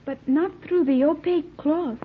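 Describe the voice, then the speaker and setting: A woman's voice: high-pitched, normal speed, lightly acted. A woman playing a naive, earnest island-raised girl in an old-time radio serial, speaking in precise, stilted, formal sentences. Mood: grave, explaining. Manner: stilted, precise, formal diction without slang. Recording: tinny, band-limited old radio recording.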